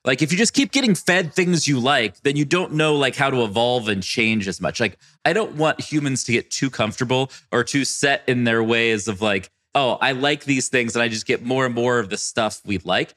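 A man's voice: mid-range voice, normal speed, few pauses, a bit bright. A male podcast co-host chatting casually in an emphatic, informal way. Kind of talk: casual chat.

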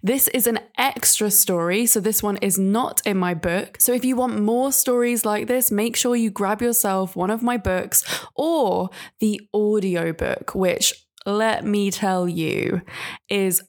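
A woman's voice: mid-range voice, a little slow, a little mellow. A woman hosting a podcast, giving a casual, gushing introduction in informal, sweary language. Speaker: podcast host.

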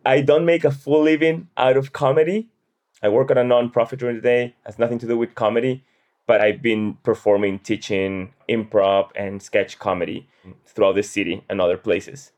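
A man's voice: mid-range voice, fairly even intonation, normal speed, a little mellow. A man from Peru talking casually as an interview guest. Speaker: guest, comedian and satire writer.

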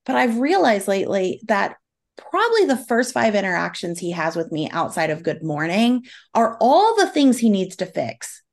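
A woman's voice: medium pitch, big pitch swings, moderate pace. A middle-aged woman, a guest on a parenting podcast, talking earnestly in long explanatory runs with occasional fillers. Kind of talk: conversational podcast interview.

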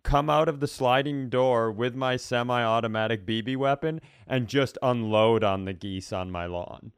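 A man's voice: mid-range voice, moderate pace, clean tone, no echo, studio quality. A man co-hosting a podcast, telling casual comic stories in a wry, rambling way. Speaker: podcast co-host. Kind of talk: casual comedic storytelling.

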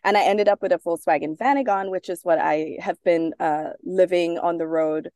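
Woman, low mellow voice. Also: moderate pace, dry recording, studio quality. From Trinidad. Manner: thoughtful, with frequent fillers and restarts. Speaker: guest author. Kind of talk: casual interview talk.

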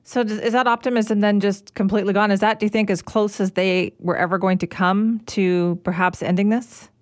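A woman host asking interview questions quickly, sprinkling in "like". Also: mid-range voice, steady tone, dry recording, studio quality. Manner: quick, with "like" fillers.

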